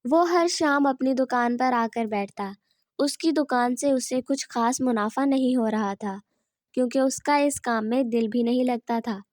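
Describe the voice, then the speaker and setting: A woman's voice: on the high side, a little slow, a little mellow, no room sound, clean studio sound. A woman reading scripted narration for a children's story.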